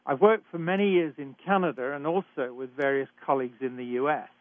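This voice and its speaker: Retired British doctor as radio host; measured, emphatic delivery, a little halting; thin, dry phone-line sound. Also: male voice, medium pitch, no echo. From Britain. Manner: measured, emphatic, some hesitations. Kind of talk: hosted talk radio introduction.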